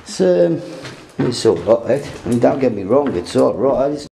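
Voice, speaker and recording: A man's voice: medium pitch, sing-song, unhurried, vocal fry, dark tone, echoey room. A man, joking and rambling as he films himself exploring a derelict house on a handheld camera. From England. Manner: jokey, rambling, swears casually. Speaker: urban explorer vlogger. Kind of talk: casual handheld exploring commentary. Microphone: handheld camera mic. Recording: noisy camera audio.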